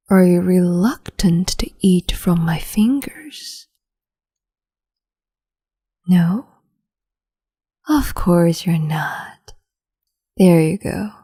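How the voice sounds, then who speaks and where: A woman playing a cruel, capricious empress in an ASMR roleplay, whispering in a slow, teasing, domineering way. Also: fairly low pitch, mellow, exaggerated voice. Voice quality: breathy whisper.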